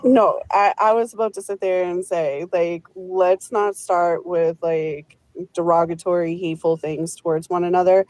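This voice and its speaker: A woman on a live panel speaks calmly, urging everyone to keep the conversation civil. Her voice sits on the low side and sounds dry, with no room echo. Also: moderate pace. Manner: calm, calls for civility. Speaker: panel participant.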